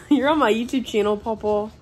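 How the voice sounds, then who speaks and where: A young woman, hoarse and congested from being sick, chatting tiredly in a home vlog. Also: normal speed, darkish tone, poor audio. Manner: tired, low-energy, chatty. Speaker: vlogger. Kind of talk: casual vlog chat. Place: at home indoors.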